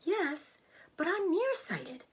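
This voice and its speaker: A woman voicing a proud, clever female spider in a storybook, with a thin, breathy voice and a genteel, self-assured, explanatory manner. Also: very high-pitched, big pitch swings, takes it slowly, pitched above the performer's own voice, full character voice. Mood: pleasant, self-assured. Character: clever, proud, matter-of-fact female spider, bloodthirsty trapper.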